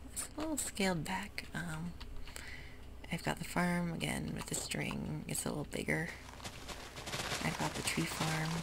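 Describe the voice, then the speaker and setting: A close-miked whispering voice, mostly breath with a gravelly, fry-laden edge where it turns voiced. The delivery wanders gently with many pauses and fillers, like a relaxed ASMR game tour. A steady hiss and the click of a computer keyboard sit behind it. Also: medium pitch. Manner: soft mostly unvoiced whisper; hesitant, meandering, with many fillers. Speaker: gameplay ASMR host.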